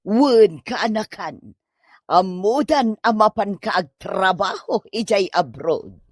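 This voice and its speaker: An actress as a nosy older auntie in an Ilocano comedy drama. She talks slowly and slyly friendly, with wide swings of pitch and an Ilocano accent. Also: lively intonation, breathy edge, dry recording, studio quality. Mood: prying, friendly.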